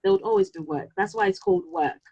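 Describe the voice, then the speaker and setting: A woman whose home tongue is Yoruba, chatting casually and reflectively, halting now and then as she thinks aloud. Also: very high voice, big pitch swings, gravelly, warm tone. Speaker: podcast co-host. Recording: slightly dull, lo-fi sound.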